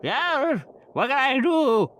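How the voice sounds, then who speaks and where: A frail, frog-like old motel clerk. The voice is pitched way up, and he talks in short, halting questions and answers. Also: big pitch swings, a bit bright, heavily acted. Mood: hesitant, questioning. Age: older.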